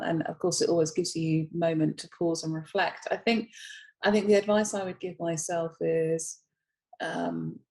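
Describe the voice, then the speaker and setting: Low, mellow, thoughtful woman. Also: creaky. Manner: hesitant, thoughtful, pausing to search for words. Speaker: panel guest. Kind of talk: considered advice on a panel.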